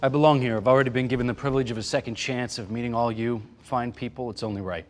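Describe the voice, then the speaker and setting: A man's voice: mid-range voice, steady tone, quick pace, soft background music, close to an everyday voice. Man playing an earnest dutiful army veteran. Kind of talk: TV drama table read. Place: large meeting room full of people.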